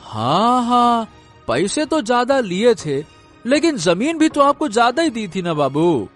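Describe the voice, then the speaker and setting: A man's voice: very high-pitched, sing-song, measured pace, a bit bright, soft background music, full character voice. A man voicing a gullible village farmer in a cartoon story, with a bewildered, rustic and at times blustering manner. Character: gullible, simple-minded village farmer father.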